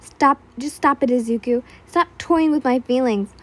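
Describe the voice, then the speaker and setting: Woman doing a scared young person, sobbing, defensive, high voice. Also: moderate pace, fairly bright tone. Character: scared, hurt young person pushing a friend away.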